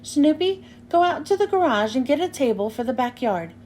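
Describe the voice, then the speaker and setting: An earnest, helpful young storybook boy, as a woman reader voices him. The speech is measured, high and expressive, with a little noise on the recording. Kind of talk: children's storybook read-aloud.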